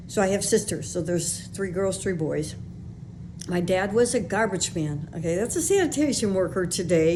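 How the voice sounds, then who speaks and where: Older Chicago woman, low-voiced podcast host. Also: background noise. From West Side of Chicago. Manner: conversational and rambling, with frequent fillers and self-corrections. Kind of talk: personal storytelling monologue.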